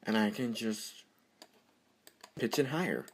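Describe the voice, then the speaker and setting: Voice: mid-range voice, lively intonation, takes it slowly. A tutorial presenter talking off the cuff over a screen recording, rambling and easily amused. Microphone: computer microphone during screen recording.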